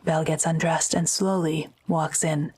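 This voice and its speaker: A woman narrator with a low, flat-toned voice reads scripted drama narration. She is measured and matter-of-fact. Clear ambient noise sits behind her.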